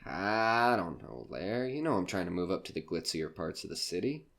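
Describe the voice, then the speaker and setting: A man's voice: deep voice, animated, moderate pace, gravelly, fairly bright tone, full character voice. A man playing a jaded, foul-mouthed nightclub comedian in an audio drama, brash, curt and grumbling. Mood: weary, polite.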